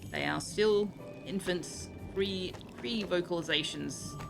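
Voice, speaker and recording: A woman's voice: fairly low pitch, slow pace, vocal fry. An Australian woman streaming a game, reading item text aloud and chatting in a dry, sarcastic way. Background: game music.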